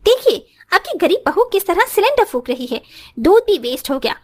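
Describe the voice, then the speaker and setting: A woman's voice: extremely high pitch, very animated, moderate pace, a little mellow. A woman voicing a haughty, spoiled young working daughter-in-law in a moral story cartoon, scornful and dropping English phrases into her Hindi. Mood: irritated.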